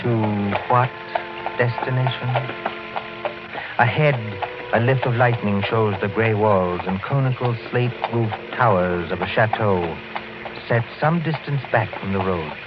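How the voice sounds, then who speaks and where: A man's voice: lowish voice, a little slow, noisy background, close to an everyday voice. A man giving atmospheric, literary narration for a radio horror drama in a solemn storytelling manner. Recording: muffled old radio, music behind.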